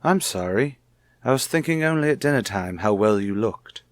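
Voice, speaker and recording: A man's voice: fairly low pitch, animated, normal speed, no echo, studio quality, close to an everyday voice. A man playing a suspicious husband in an old-time radio soap, speaking with formal, pointed politeness. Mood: coolly solicitous. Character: suspicious, coolly courteous husband, probing his wife.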